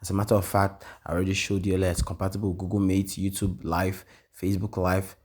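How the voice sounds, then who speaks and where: A man walking viewers through a piece of software in a casual tutorial, repeating points and tagging sentences with "okay?" and frequent "um" fillers. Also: low-pitched, flat delivery, fast talker. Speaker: tutorial presenter. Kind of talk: screen-share software walkthrough.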